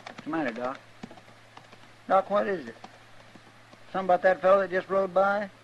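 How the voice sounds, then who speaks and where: A man's voice: high voice, animated, moderate pace. An actor playing a gullible, hypochondriac frontier townsman in a 1950s radio western, with a rambling, homespun rural Western American drawl. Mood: puzzled, worried. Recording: dull old radio recording, slight hiss.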